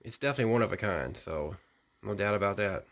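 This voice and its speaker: A man giving an unscripted hands-on product demonstration, rambling technically and thinking out loud as he hosts a hobby video. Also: on the low side, fast talker, a little hiss or hum. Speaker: radio hobbyist video host.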